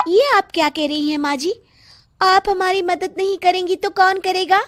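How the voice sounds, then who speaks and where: A woman's voice: very high voice, fairly even intonation, moderate pace, a bit bright, dry recording, clean studio sound, soft background music. A woman voicing a young, sly daughter-in-law with a girlish, outwardly polite manner in a comedy cartoon. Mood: dismayed. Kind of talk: Hindi family comedy cartoon.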